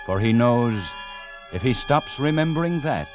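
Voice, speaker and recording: An actor playing a grave adventure narrator in a 1940s radio drama, dramatic and deliberate. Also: male voice, mid-range voice, animated, natural voice. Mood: ominous, suspenseful. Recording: 1940s radio broadcast with music.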